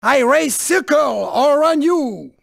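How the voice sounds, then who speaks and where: A sneaky, irritable little French car from a video game. The voice is high and gravelly, with a French accent and unhurried pacing. Delivery is haughty, exclamatory and theatrical. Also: male voice, lively intonation, a bit bright, no room sound, studio quality, heavily acted. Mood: smug, boastful. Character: small, irritable, boastful, sneaky French male cartoon car.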